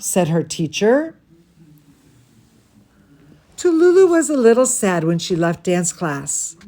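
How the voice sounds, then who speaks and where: Slow, expressive woman reading to kids. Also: medium pitch. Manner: expressive, slow, addresses young children with questions. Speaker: dance studio teacher reading a bedtime story to students. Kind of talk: children's storytime read-aloud with chatty asides to viewers.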